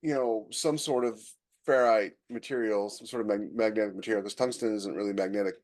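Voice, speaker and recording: A man's voice: fairly low pitch, steady tone, brisk, darkish tone. A man co-hosting a podcast in casual conversation, thinking aloud and talking informally about technology. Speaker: podcast co-host. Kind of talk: casual conversational tech chat.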